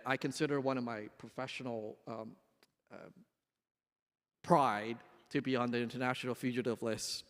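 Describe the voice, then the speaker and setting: A man's voice: mid-range voice, a bit bright. A man in his forties from Hong Kong giving an earnest, emphatic dinner speech as an activist guest speaker, with some restarts. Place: large banquet hall. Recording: echoey hall with long reverb.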